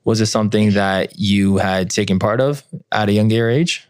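A man hosting an interview, asking questions in a halting way and restarting his sentences. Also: lowish voice, smooth, dry recording, studio quality. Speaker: podcast host.

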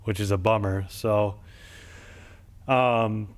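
A man's voice: lowish voice, fairly even intonation, slow. A man hosting an interview show, talking casually and reminiscing in long, rambling sentences full of "like". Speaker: show host.

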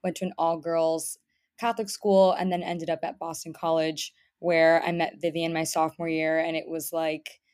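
A woman's voice: sits low, flat delivery, normal speed, a little mellow, no echo, clean studio sound. A woman in her thirties from Miami, chatting casually with fillers as a podcast guest. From Miami, Florida, US. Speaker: guest, head of growth. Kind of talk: casual interview talk.

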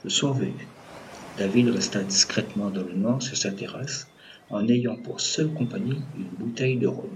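Low-pitched man narrating audio fiction. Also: moderate pace, slight background noise, poor audio. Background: music.

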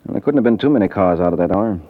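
Businesslike private detective: American, fairly low voice, lively melody, muffled old-radio sound. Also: male voice, very fast. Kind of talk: 1940s-50s American radio detective drama acting. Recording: dull old radio drama recording.

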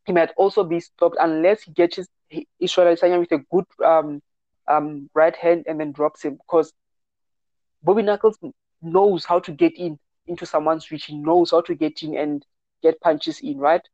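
A man with a slightly higher voice co-hosts a fight podcast in an easygoing way. He comes through a dull, muffled call line in a dry space, filling his talk with "yeah" and "um". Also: steady tone, normal speed, a little mellow, dry recording. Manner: easygoing, says "yeah" and "um" often. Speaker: podcast co-host. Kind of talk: casual MMA podcast chat. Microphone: remote call over Wi-Fi.

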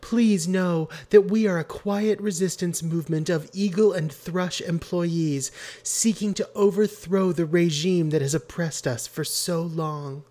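A gentle, earnest woman voiced by a man in an audiobook story. The pitch sits well above plain narration, and the delivery is soft and grave. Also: fairly even intonation, a little slow. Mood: grave, wondering. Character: earnest, gentle, sad woman amateur detective. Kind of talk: audiobook character voice.

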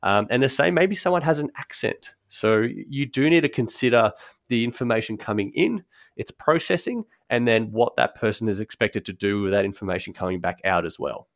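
A man explaining accessible design in a relaxed podcast conversation, thoughtful, with frequent ums and restarts. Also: lowish voice, moderate pace, no room sound, clean studio sound. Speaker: guest expert on accessible design.

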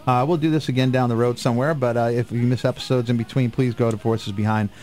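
An American man works as a radio host, chatting in a studio. His voice sits at an average male pitch, and he talks in the casual style of a live show. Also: steady tone, quick pace, a little mellow.

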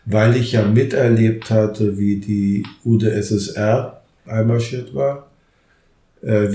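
An older man from Germany in his seventies or above, speaking reflectively and haltingly in an interview. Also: fairly low pitch, mellow. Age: older, over 70. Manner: halting, searching for words. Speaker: interview guest. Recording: roomy, echoing interview sound.

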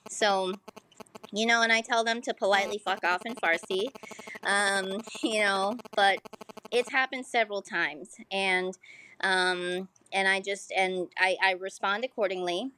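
A woman's voice: mid-range voice, steady tone, measured pace, pushed and bright, low-quality recording. A woman with an American accent speaking candidly in a casual interview, swearing freely and often saying "like". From United States. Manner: frank, casual, sweary, frequent "like". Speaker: podcast guest, pole dancer.

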